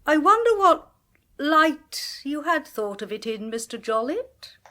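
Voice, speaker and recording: This is a sardonic, theatrical clairvoyant from a 1980s British radio drama, a woman of middle age with a sharp tongue. fairly high, with a British accent and a dry, flamboyant bite. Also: big pitch swings, unhurried, full character voice. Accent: British English.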